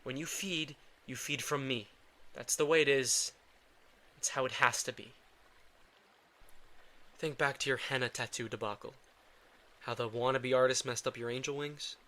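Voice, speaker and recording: A man's voice: medium pitch, fairly even intonation, moderate pace, pauses often, pushed and bright, close to an everyday voice. A man playing a guilt-ridden, protective male vampire in an intimate audio drama, with dry sarcasm and a weary, casual delivery. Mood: exasperated, sardonic.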